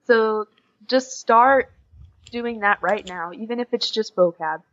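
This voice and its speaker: A woman's voice: fairly high pitch, measured pace, fairly bright tone. A young American woman talking casually in an interview, giving earnest, encouraging advice. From United States. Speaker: podcast guest, exchange student. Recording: dull lo-fi podcast recording.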